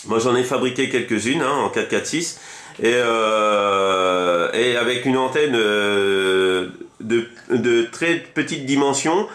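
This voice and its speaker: A man's voice: mid-range voice, slow, a bit bright, roomy sound. A man chatting casually as a radio hobbyist, rambling and enthusiastic, often repeating himself. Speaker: amateur CB radio operator.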